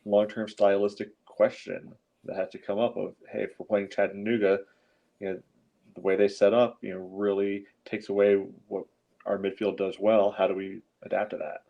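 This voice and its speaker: A man's voice: fairly low pitch, flat delivery, normal speed, vocal fry, muffled recording. A man giving casual sports analysis as a podcast panelist, explaining things in a chatty, conversational way. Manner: explanatory, peppered with "you know".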